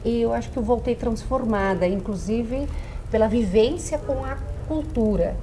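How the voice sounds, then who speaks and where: A woman's voice: fairly high pitch, normal speed, dark tone, a little hiss or hum. A middle-aged woman, a native Dutch speaker with a slight Dutch-influenced Brazilian accent, giving reflective answers as an interview guest. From Brazil, rural Dutch-speaking community.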